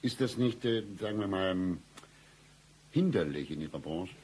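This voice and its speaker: An actor playing a dry, lovesick boss in a satirical radio play, deadpan with florid turns of phrase. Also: male voice, low-pitched, normal speed, husky. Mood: dry, questioning. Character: dry, lovesick male boss hiring staff, pompous romantic.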